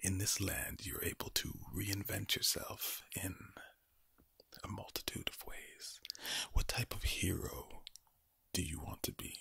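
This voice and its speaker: A man doing ASMR in a low, breathy whisper, giving a soothing pep talk with repeated phrases and a villain-like, menacing tone. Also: steady tone, measured pace, bright, forceful tone. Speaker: ASMR video creator.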